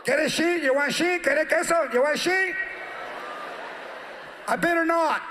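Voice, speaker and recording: A man on stage acting out a curt male fast-food worker at a drive-thru speaker, who talks in a Mexican accent and mixes in Spanish. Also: very high-pitched, sharp tone, roomy sound, a little hiss or hum, pitched above the performer's own voice, full character voice. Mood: impatient.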